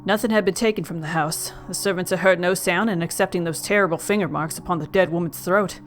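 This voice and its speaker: An actor playing a haunted young gentleman, narrating a gothic tale in formal, melancholy, literary speech. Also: mid-range voice, fairly even intonation, quick pace, natural voice. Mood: grave, somber. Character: young man Joel Hetman Jr, mournful, haunted, genteel narrator. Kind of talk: dramatic first-person gothic audio narration.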